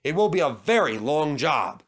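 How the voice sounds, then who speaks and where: A man's voice: on the high side, very animated, moderate pace, fairly bright tone. Man playing a stern, pompous railway boss. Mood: stern reprimand. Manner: pompous, formal. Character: stern, authoritative older male railway controller. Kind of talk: children's storybook character voice.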